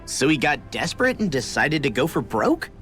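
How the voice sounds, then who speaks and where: Game role: young adventurer, plucky, informal, blunt, highly varied intonation. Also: female voice, very animated, moderate pace, fairly bright tone. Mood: matter-of-fact. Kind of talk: video game voice acting. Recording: music under the voice.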